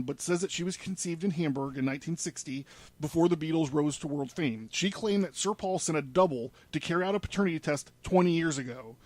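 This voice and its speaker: A man's voice: fairly high pitch, dry recording. A man presenting a podcast in a studio, reading news articles aloud with informal asides. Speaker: podcast presenter laying out a case.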